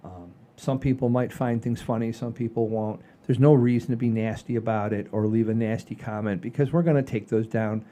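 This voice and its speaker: A man hosting a comedy show in casual chat, halting and repeating phrases for emphasis. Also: medium pitch, steady tone, dark tone.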